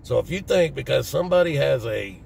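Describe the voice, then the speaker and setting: A man in his fifties with a Southern US accent and a deep, raspy smoker's voice, talking casually and plainly to viewers. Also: lively intonation, brisk, a little mellow. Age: 50s. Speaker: vlogger. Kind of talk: casual personal talk to viewers. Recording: distant mic with faint background noise.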